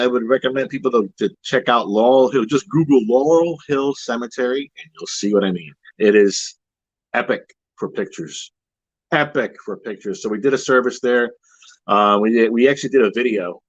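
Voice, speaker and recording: A man with a deep voice, a horror-themed wedding officiant, chatting casually and confidently as an interview guest, with frequent restarts. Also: no room sound, highs cut off. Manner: rambling, self-assured, many restarts.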